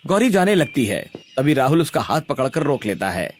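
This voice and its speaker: A man narrating a scripted animated story. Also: medium pitch, moderate pace, faint music behind.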